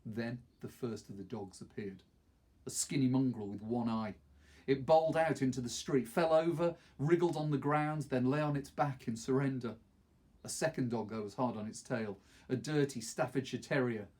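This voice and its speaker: A middle-aged man with a husky voice, reading a novel aloud expressively. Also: medium pitch, moderate pace, darkish tone. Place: small wood-panelled study, indoors.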